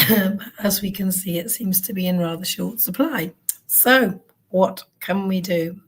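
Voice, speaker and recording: Hoarse woman speaker, earnest conference talk, middle pitch, ums along the way. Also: measured pace. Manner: warm, earnest, with frequent ums. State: croaky, hoarse voice. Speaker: keynote speaker on child wellbeing. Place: event stage.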